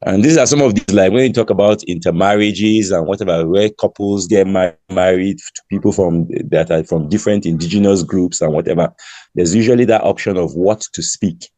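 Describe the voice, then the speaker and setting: Mellow, low Nigerian man on a panel. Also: brisk, no echo. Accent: Nigerian English. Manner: relaxed, loose with fillers. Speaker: panelist, linguist. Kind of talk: panel discussion.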